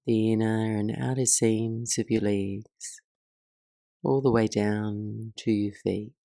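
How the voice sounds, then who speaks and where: A woman leading a guided meditation as the teacher, speaking in a soothing, measured way with soft pauses. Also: a bass voice, fairly even intonation, vocal fry, no room sound, studio quality.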